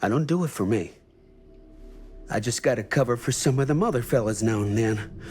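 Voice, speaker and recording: A man's voice: medium pitch, fairly quick, a little mellow, slight background noise, lightly acted. An actor playing a shifty, hard-drinking middle-aged American veteran watchman in a video game, muttering hesitantly in a folksy drawl. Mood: defensive. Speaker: mill night watchman. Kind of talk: cinematic video game acting.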